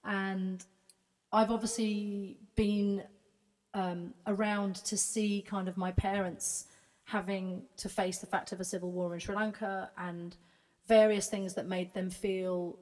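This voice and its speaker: A woman speaks in a middle register, introducing her next song in a casual, hesitant way, with plenty of ums and restarts. She sounds a little away from the mic, and her voice rings out in a long reverberant room. Soft music plays behind her. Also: mid-range voice, level tone, takes it slowly, far from the mic. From Britain. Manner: hesitant, many fillers and restarts. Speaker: singer-songwriter.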